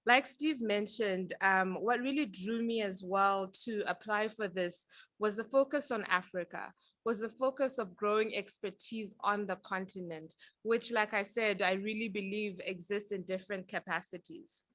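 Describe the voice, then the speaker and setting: A young woman from Zambia talks through her career path at a panel event in an earnest, reflective way. Her voice is bright and forward, recorded close and dry with almost no room sound. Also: medium pitch, normal speed, dry recording. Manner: earnest, reflective, with some filler ums. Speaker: panel speaker. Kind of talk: prepared personal talk at a panel.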